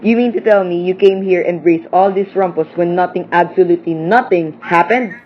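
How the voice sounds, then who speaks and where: A woman's voice: on the low side, a little fast, natural voice. A woman playing a stern, defensive parent in an amateur radio play, with a blunt, challenging delivery. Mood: angry. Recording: dull lo-fi audio with clear noise.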